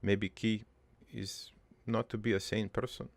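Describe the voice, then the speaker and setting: A man playing a gruff, crude army veteran turned prankster, telling his regretful life story in a halting, matter-of-fact ramble for an improvised cartoon monologue. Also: lowish voice, flat delivery, no room sound. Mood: somber, weary.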